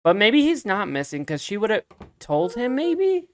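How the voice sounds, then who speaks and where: A man's voice: sits high, wildly swooping pitch, unhurried. A man doing casual, chatty game commentary, reacting aloud as he plays. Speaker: let's play streamer.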